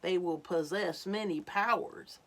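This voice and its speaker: A woman voicing a wise, gentle old female turtle from a children's storybook, speaking solemnly and deliberately. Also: lowish voice. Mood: prophetic, tender. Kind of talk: storybook read-aloud for children.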